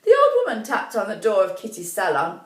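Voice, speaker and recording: A woman reading a children's story as expressive, deliberate narration, pausing to put questions to young listeners. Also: medium pitch. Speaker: primary school story reader. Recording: echoey room, distant mic.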